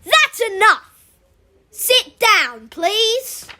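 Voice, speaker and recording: A woman's voice: a little high, expressive, moderate pace. Woman as strict, commanding female schoolteacher. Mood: fierce. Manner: loud, commanding.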